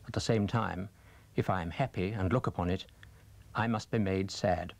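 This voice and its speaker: Male audiobook reader. Lowish pitch, very dry recording, measured formal storytelling with deliberate phrasing. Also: vocal fry, darkish tone, dry recording. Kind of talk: scripted story narration.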